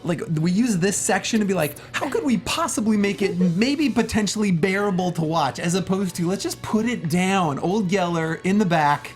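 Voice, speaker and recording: A man's voice: high-pitched, lively intonation, normal speed, hardly any pauses, faint music behind. A man bantering casually as a co-host on a panel show, with a wry manner. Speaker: co-host of a movie review show.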